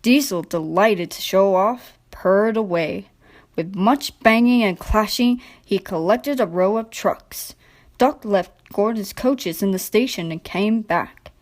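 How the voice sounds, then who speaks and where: Unhurried woman reading a children's story. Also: mid-range voice. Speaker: storybook narrator. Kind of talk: scripted children's story narration.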